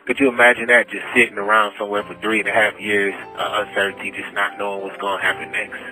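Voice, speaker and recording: Forceful, pleading American man on phone. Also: fairly low pitch, flat delivery, fast. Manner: pleading, emphatic, repetitive. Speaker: prisoner telling his story. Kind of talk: impassioned personal plea over the phone. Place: prison phone call. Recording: prison phone line, music underneath. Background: music.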